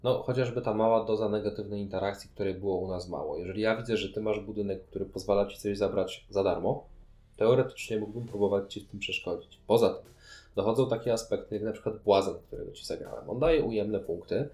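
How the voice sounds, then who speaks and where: Man, lowish pitch, mellow tone, measured explanatory delivery, casual podcast talk. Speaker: podcast co-host. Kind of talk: casual board game discussion.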